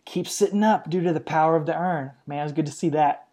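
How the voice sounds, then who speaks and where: A man's voice: fairly high pitch, fast talker, mellow. A man co-hosting a podcast, casually recapping old matches with light laughs. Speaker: podcast co-host.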